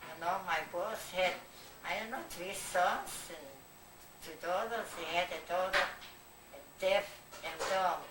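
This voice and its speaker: Elderly Yiddish-native grandmother, low voice, rambling memories, distant on an echoey, noisy home video. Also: measured pace. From Eastern Europe. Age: older. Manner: rambling, reminiscing, often asks to repeat. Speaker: grandmother recalling her youth. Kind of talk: home video family interview. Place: family home. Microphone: home video camera from across the room.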